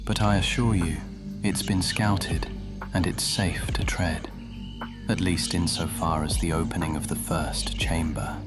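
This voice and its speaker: Casting: male actor for ancient supernatural nobleman, very low and unhurried, breathy half-whisper, cultured and condescending, ASMR fantasy drama, music underneath. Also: steady tone. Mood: hushed, assuring. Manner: measured, condescending, persuasive, often dropping into whisper. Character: scheming, cultured, centuries-old male supernatural lord. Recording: music behind the voice.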